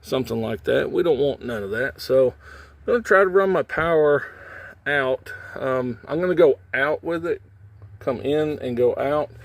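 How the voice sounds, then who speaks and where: A man with a medium-pitched voice talks through a hands-on job. His phrasing is folksy and informal, in the casual style of a homemade tutorial, explaining each step while he works. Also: moderate pace, creaky, no room sound. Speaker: how-to video host, trucker. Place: in and around a truck cab.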